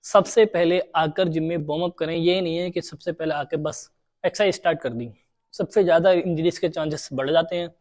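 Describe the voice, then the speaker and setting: A man's voice: on the high side, steady tone, brisk, a little mellow. A young man giving gym tips to the camera, repeating key points for beginners, recorded in a large indoor gym. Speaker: gym trainer.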